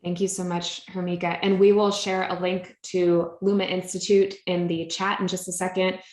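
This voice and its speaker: A woman's voice: lowish voice, level tone, moderate pace, a little mellow, roomy sound. A woman hosting an online workshop, guiding a round of introductions in a friendly way with fillers. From Virginia area, United States. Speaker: meetup host and facilitator. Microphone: video call.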